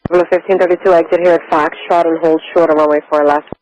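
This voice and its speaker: Brisk male tower controller, rapid and flat. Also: fairly high pitch, dull audio. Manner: brisk, clipped, even-toned instructions. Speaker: airport tower air traffic controller. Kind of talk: radio phraseology.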